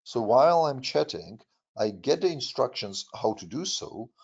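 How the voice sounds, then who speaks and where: A man with a Czech accent, Czech his first language, presenting a software demo in a webinar with frequent fillers and restarts. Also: medium pitch, sing-song, breathy edge, lo-fi sound. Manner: halting, many fillers and restarts. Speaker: product presenter.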